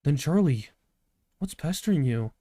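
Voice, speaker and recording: A man's voice: fairly high pitch, expressive, measured pace, mellow, no room sound, studio quality. A man in an amateur table read playing a tender, hesitant, concerned young man in a drama scene. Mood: hopeful, concerned.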